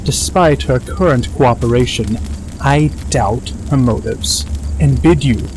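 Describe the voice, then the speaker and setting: A man's voice: mid-range voice, unhurried, background noise, close to an everyday voice. A man playing a ghostly young dragon in an audio drama, speaking formally and with condescension to his brother. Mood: stern, disdainful.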